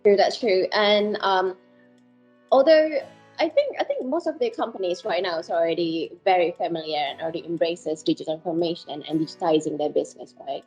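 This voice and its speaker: A woman's voice: lowish voice, animated, fairly quick, a little mellow, breathy edge, soft background music, low-quality recording. A woman hosting a podcast interview, asking questions casually with frequent ums. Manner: hesitant, frequent fillers. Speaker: podcast host.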